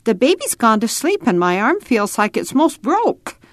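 A woman's voice: on the high side, big pitch swings, moderate pace, no echo, clean studio sound, voice pushed up. A woman voicing a little pioneer boy in a children's story, simple, childlike and a bit uneasy. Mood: piping complaint.

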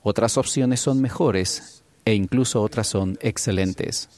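A man delivering a formal church conference sermon in the words of an interpreter, in a measured way. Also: lowish voice, a little mellow.

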